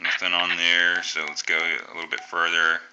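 An American man giving a casual, unscripted radio tutorial in a halting, think-aloud way. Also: sits low, monotone, bright, forceful tone, muffled recording, a little hiss or hum. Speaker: amateur radio hobbyist presenting a tutorial. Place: indoors at a table.

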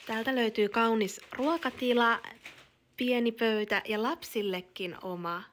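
A woman in her thirties from Finland, filming a family travel vlog on a phone held at arm's length and chattering brightly to the camera and her children. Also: fairly high pitch. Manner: bubbly, enthusiastic, talks to camera and her kids. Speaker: family vlogger. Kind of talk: casual travel vlog narration. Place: car, shops, hotel and outdoors. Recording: phone audio with steady background noise.

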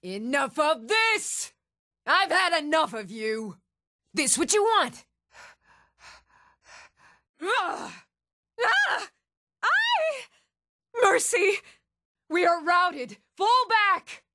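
Fantasy game fighter: fierce, taunting female warrior, high voice, slow deliberate barks. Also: very animated, full character voice. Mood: defeated. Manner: shouted taunts, grunts and threats. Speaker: video game voice actor.

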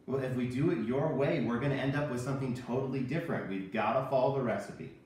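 A man in his thirties presenting a cooking lesson for children, playing the animated straight man. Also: medium pitch, fast. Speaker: host of a children's cooking show. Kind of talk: scripted instructional presenting with puppet banter. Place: home kitchen. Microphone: camera a few meters away. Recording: very echoey room, distant microphone.

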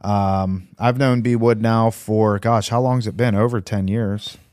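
A man's voice: on the low side, steady tone, measured pace, darkish tone, dry recording. A man hosting a casual interview chat on a close studio microphone. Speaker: podcast host. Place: small indoor podcast studio.

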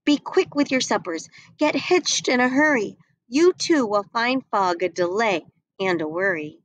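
A woman's voice: on the high side, animated, measured pace, no echo, low-quality recording. A woman voicing Santa, a round, worried old man, calling commands in a storybook reading. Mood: urgent.

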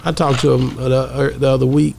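A man's voice: mid-range voice, level tone, fast talker, creaky, slight background noise. A man hosting a podcast, chatting casually in slangy, repeated phrases. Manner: slangy, repetitive. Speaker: podcast host. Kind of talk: casual chat. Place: small podcast studio, indoors. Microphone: close studio microphone.

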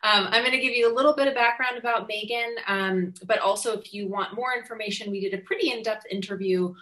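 A woman's voice: medium pitch, fairly quick, sharp tone, rough recording. A woman in her thirties giving an informal, effusive introduction over a video call. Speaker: casting director introducing a performer. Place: home room, indoors. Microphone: video call computer microphone.

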